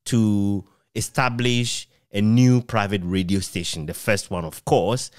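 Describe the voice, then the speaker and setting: Low-voiced Ghanaian man interviewing, dry sound. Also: fairly even intonation, unhurried, clear, resonant tone, no echo. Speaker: interviewer, author. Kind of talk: conversational radio history interview.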